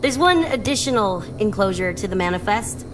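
A woman's voice: medium pitch, lively intonation, moderate pace, background noise, lightly acted. A woman voicing a tough, guarded young smuggler with a cool, blunt manner in video game dialogue.

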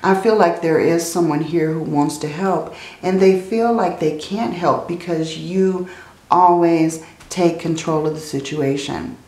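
A woman speaks slowly and gently as she reads tarot, her voice low and a little worn out. Her phrases run on softly and often end in "okay?" The sound echoes in the room. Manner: slow, soothing, often adds "okay?" and "I feel like". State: tired, strained voice. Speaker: tarot reader. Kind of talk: casual tarot reading. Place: indoors at a table, hands seen handling cards.

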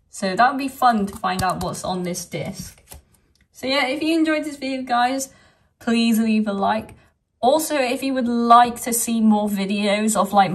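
A woman vlogger talking casually through an unboxing, her voice a bit croaky from a sore throat, soft-spoken and chatty. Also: medium pitch, recorded from a distance. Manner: soft-spoken, chatty, frequent small laughs.

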